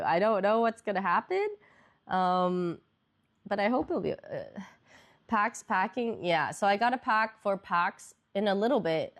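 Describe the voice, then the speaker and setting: A young woman streamer chatting casually with viewers, congested and nasal, rambling with lots of fillers. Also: medium pitch.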